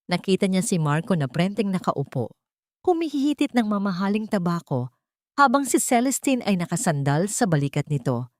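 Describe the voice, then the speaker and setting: Dramatic woman storyteller, low-pitched, close dry sound. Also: darkish tone, no room sound. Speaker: audiobook narrator. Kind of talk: dramatic scripted story narration.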